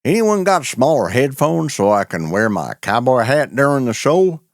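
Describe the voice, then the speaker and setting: A man's voice: mid-range voice, big pitch swings, normal speed, no room sound, clean studio sound. A man hosting and narrating a cowboy audio show in a folksy, homespun way.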